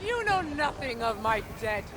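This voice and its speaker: An imperious queen of the Norse dead from a video game, delivers slow, regal threats. She sounds commanding and menacing, with loud background noise all around the voice. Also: high-pitched, sing-song. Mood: cold, imperious. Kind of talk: dramatic game cutscene acting. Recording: loud game ambience over the voice.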